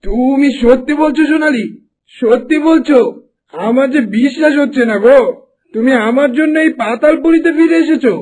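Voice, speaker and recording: A man's voice: very high-pitched, normal speed, a little mellow. A man playing a dramatic, lovestruck merman prince in a Bengali fairy-tale cartoon, with a villainous streak. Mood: lovestruck, eager. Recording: music behind the voice.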